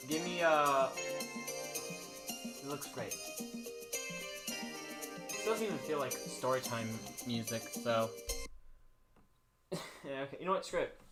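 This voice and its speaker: A man hosting a livestream, telling stories casually in a rambling way, often restarting and repeating his phrases. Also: on the high side. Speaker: livestream host. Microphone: streaming microphone he adjusts by hand.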